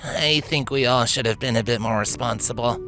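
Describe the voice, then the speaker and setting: A woman's voice: extremely low pitch, brisk, soft background music, pitched above the performer's own voice. A woman voicing a remorseful young pony ringleader with a sheepish, frank manner in a fantasy story. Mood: guilty.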